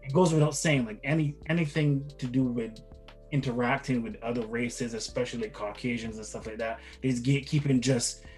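A man's voice: mid-range voice, steady tone, moderate pace, darkish tone, soft background music. A middle-aged man from Barbados, a guest father chatting casually in an interview, rambling with frequent restarts and repeated words.

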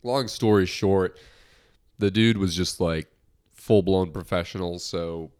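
A man's voice: low voice, takes it slowly, a little mellow, smooth. A young man hosting his own podcast in a lighthearted, rambling way, slightly nasal from a recent cold. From United States. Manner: lighthearted, rambling, many restarts. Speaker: podcast host. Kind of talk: casual solo podcast talk.

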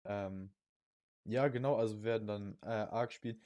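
Low, mellow young man chatting casually. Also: fairly even intonation, moderate pace, no room sound. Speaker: podcast co-host. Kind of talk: casual chat.

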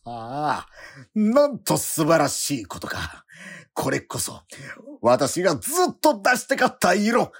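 An actor playing an obsessive, starving painter who narrates his own work in a radio drama with a slow, heavy inner monologue. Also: fairly high pitch, wildly swooping pitch, husky, exaggerated voice. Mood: ecstatic. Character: obsessive, starving male painter, feverish and brooding. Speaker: struggling painter.

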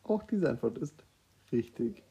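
A man's voice: mid-range voice, very animated, measured pace, gravelly, mellow, low-quality recording. A man co-hosting a guessing game in casual banter, with dry, mock-formal answers. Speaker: co-host playing a guessing game.

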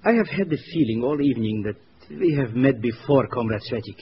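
Guy doing a suspicious soviet agent, smooth-mannered threat, old radio, dull sound with faint hiss. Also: medium pitch, lively intonation, a little fast, lightly acted. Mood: suave, suspicious. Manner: probing, politely menacing. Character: big, suspicious Soviet agent, outwardly courteous, quietly menacing. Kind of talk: old-time radio drama acting. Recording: muffled old radio recording, faint noise.

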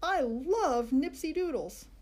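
A woman voicing an earnest little schoolboy who speaks loudly and slowly. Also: on the high side, animated. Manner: loud, slow, earnest.

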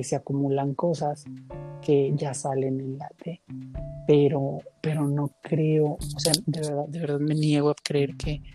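A woman's voice: deep voice, mellow. A woman in a casual, reflective conversation, thinking aloud and searching for her words. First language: Spanish. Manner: musing, hesitant, searching for words.

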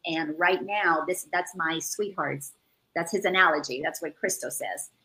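A woman's voice: low-pitched, normal speed, sharp tone, low-quality recording. A woman who grew up in the Dominican Republic, speaking earnestly over a video call and repeating words as she searches for them. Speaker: guest. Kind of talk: casual spiritual chat.

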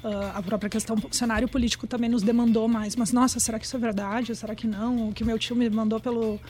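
A woman's voice: fairly high pitch, flat delivery, fast, warm tone. A middle-aged woman with a Brazilian accent, explaining things in a chatty, conversational way and often checking for agreement.

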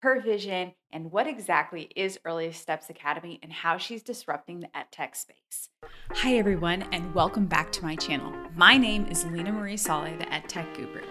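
A woman's voice: on the low side, moderate pace, music in the background. A woman presenting as the host of an online interview channel, in a scripted introductory style.

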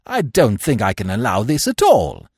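A man voicing a witty, idle young gentleman with a flippant, affected, teasing manner in a storybook reading. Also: mid-range voice, huge pitch swings, moderate pace, husky, dry recording, clean studio sound, full character voice. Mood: languid, wry.

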